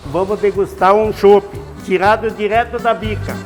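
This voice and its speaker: A man in his sixties talks through his craft patiently and homely, with a notably high voice. His words ring out with a long reverb, and faint music sits underneath. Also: steady tone. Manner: unhurried, instructive, folksy. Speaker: master brewer. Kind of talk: explanatory interview answers.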